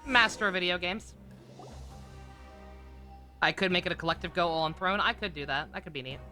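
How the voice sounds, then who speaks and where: A man with a very bright, slightly high voice gives casual livestream commentary over a game. He rambles and hesitates, often trailing off mid-thought, with faint game music behind him. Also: brisk. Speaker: game streamer.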